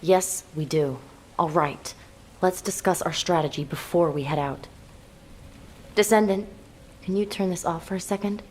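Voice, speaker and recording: A cool, independent female fighter from an action game, played by a woman. Her voice is fairly low and her delivery curt and unfussy, with faint noise behind it. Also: unhurried, pauses often, a little mellow, natural voice. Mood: cool, matter-of-fact. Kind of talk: video game cutscene acting.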